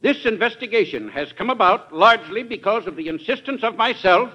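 Righteous crusader, high sharp voice, speechifying, old radio drama. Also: male voice, lively intonation, brisk, muffled recording, full character voice. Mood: righteous, insistent. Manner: declamatory. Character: righteous, combative male witness on a crusade. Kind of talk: classic radio drama oratory.

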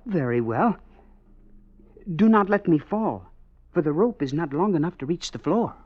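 An actor playing a trusting, good-natured young farm lad, speaking in earnest, stilted old-fashioned phrases in radio drama style. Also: male voice, on the high side, animated, moderate pace. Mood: puzzled, trusting. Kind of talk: 1950s radio drama acting. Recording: muffled old radio recording.

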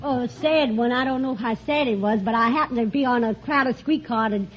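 An old, muffled radio recording. An American woman from Virginia speaks as a contestant in a high voice, casual and plain-spoken. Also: moderate pace, slight background noise. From Norfolk, Virginia. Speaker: quiz show contestant. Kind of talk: casual chat, colloquial. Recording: muffled vintage radio broadcast.

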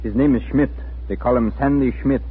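A man's voice: medium pitch, fairly even intonation, brisk, lightly acted. Military subordinate played by a man: dutiful, matter-of-fact report, 1940s radio play, narrow sound with faint noise. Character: dutiful, deferential German military subordinate officer. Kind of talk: 1940s radio drama acting. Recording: scratchy, phone-like 1940s radio recording.

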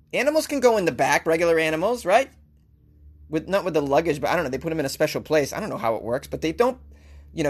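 Sarcastic Boston-accented male radio host. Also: a little high. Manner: sarcastic, ranting, laughs often. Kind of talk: opinionated news commentary.

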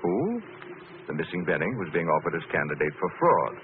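An actor playing a suave, unruffled gentleman sleuth in a 1940s radio drama, explaining things in an urbane way. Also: deep voice, fairly quick, lightly acted. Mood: urbane, playful. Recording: tinny old radio recording, clear noise.

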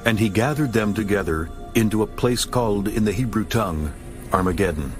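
A man's voice: lowish voice, fairly quick, over music. A man narrating a dramatized scripture audiobook in a solemn, formal manner.

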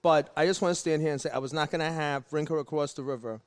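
A man hosting a casual podcast chat, talking in a rambling, animated way. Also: on the high side, smooth, no echo. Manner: rapid-fire, rambling, animated. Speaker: podcast host. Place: pastry shop.

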